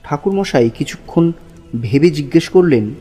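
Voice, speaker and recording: A man's voice: on the high side, expressive, moderate pace, dark tone, slightly rough. A man playing an anxious husband who narrates his own haunting in a horror audio drama, uneasy and dramatic. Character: anxious married male narrator, haunted follower of the tantric. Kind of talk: first-person horror story narration with acted dialogue. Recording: music under the voice.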